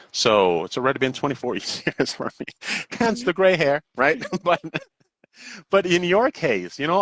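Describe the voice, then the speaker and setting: A man in his forties, an English teacher hosting a casual live interview, who talks in a halting, wordy way, repeating words and often checking in with the listener. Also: mid-range voice, fairly bright tone, no echo. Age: 40s. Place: indoors on an online video call.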